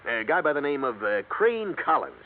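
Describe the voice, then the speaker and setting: A man's voice: a little high, sing-song, moderate pace, phone-quality sound. A man with an American accent playing a wisecracking, gossipy insurance man in an old radio drama, telling things in colorful slang. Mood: baffled, colorful. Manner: jokey, colorful, slangy storytelling. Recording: thin, band-limited vintage radio recording.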